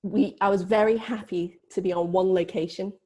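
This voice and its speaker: A woman's voice: medium pitch, steady tone, moderate pace, mellow. A woman speaking as a film producer in a casual panel interview, in an informal, loosely phrased way. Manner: informal, hedging with frequent "like".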